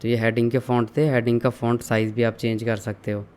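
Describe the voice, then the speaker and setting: A young man calmly walking viewers through an online store setup, step by step, mixing English terms into his explanations. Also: medium pitch, fast, clean tone. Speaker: online tutorial instructor. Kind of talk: screen-share software walkthrough.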